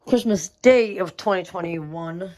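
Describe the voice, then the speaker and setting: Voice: mid-range voice, a little slow, a little mellow, a little hiss or hum. A young person giving a casual, unscripted show-and-tell as a hobby vlogger, with slangy, repetitive phrasing and some mild swearing. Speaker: vlogger showing a weather radio collection.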